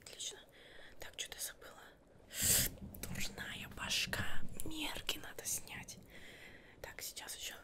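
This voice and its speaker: A young woman plays a friendly witch seamstress in a whispered ASMR roleplay. She murmurs softly and soothingly into a close microphone, unhurried, often repeating small words as she works. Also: natural voice. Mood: busy, focused. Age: young adult. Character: friendly, busy witch seamstress fitting a Halloween costume. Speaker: ASMR performer.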